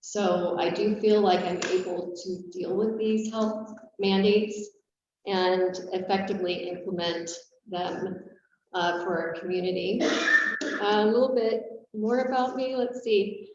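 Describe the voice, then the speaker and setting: A woman in her forties giving a brisk, self-assured board candidacy statement over a video call, with frequent filler words. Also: mid-range voice, steady tone, darkish tone, a little hiss or hum. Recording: muffled, roomy, distant call audio.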